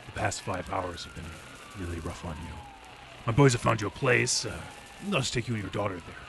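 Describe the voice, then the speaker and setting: A man's voice: on the low side, brisk. A man playing a weary, dutiful police detective in an old-time radio drama. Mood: sympathetic. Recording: clearly noisy, hissy background.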